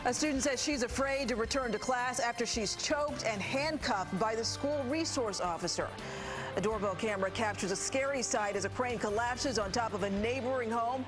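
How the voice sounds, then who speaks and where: A woman's voice: fairly high pitch, moderate pace, music in the background. A woman with an American accent reading scripted news as an anchor, in a formal, polished broadcast delivery. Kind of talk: scripted TV newscast narration.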